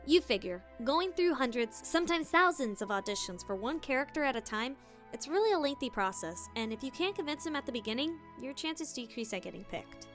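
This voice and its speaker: A woman's voice: high voice, lively intonation, fairly quick, fairly bright tone, soft background music. A woman hosting a scripted, playful tutorial as a voice actor, with theatrical emphasis. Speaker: voice actor and video host.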